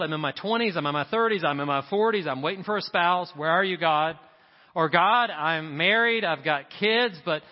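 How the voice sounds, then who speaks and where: Man preaching in a reverberant church. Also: fairly high pitch. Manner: emphatic preaching, repeats key lines. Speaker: pastor. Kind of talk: sermon. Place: church sanctuary. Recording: reverberant hall, dull sound. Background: church congregation.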